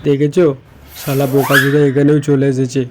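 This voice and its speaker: A man's voice: medium pitch, little pitch movement, a little fast, background noise, lightly acted. A man voicing a cocky, defiant thief in a comic cartoon, mocking and boastful. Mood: gloating. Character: cocky male thief taunting gods, mischievous and defiant. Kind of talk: comic Bengali cartoon dubbing. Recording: music behind the voice.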